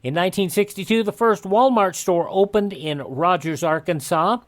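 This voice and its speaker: A man's voice: high-pitched, normal speed. A man hosting a radio program, reading through dated history notes and commenting on them. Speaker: radio show host.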